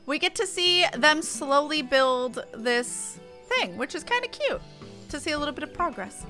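A woman's voice: sits high, very animated, moderate pace, sharp tone, faint music behind. A woman game streamer giving casual, informal commentary in muttered asides.

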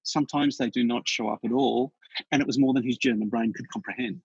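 A man with an Australian accent, a podcast guest telling stories in a chatty, animated way with frequent restarts. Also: mid-range voice, fast, breathy edge, dry recording, highs cut off. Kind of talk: casual interview chat.

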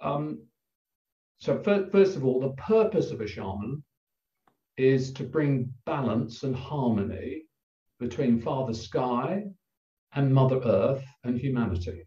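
An older man with an English accent, a guest telling a personal story over a video call in a halting, reflective way with many pauses. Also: mid-range voice, animated, dark tone. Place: plain room indoors. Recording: roomy, distant, dull video call audio.

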